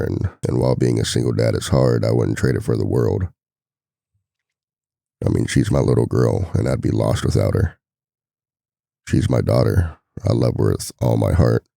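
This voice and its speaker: A man playing a devoted, worried single-dad boyfriend in an intimate ASMR roleplay, confiding softly with a gravelly voice. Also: normal speed, no echo, studio quality, full character voice. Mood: anxious, tender. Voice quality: very deep, gravelly.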